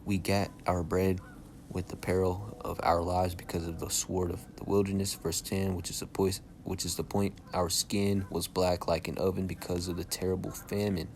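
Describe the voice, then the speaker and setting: Soft-spoken young man teaching scripture, very low. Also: little pitch movement, moderate pace, slight background noise. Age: young adult. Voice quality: soft-spoken by his own account. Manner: casual, checks in constantly with "all right?" and "okay?". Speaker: Bible teacher.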